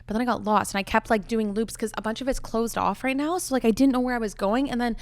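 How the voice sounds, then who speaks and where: A woman's voice: fairly high pitch, fast talker. A young woman chatting casually as a podcast co-host, peppering her speech with "like". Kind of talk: casual chat.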